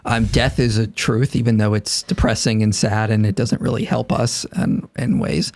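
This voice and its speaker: A man's voice: level tone, normal speed, vocal fry, darkish tone. A man in his late thirties, a podcast guest, making his case earnestly in casual conversation and acting out imagined speakers. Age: 30s. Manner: earnest, argumentative, voices imagined speakers in raised pitch.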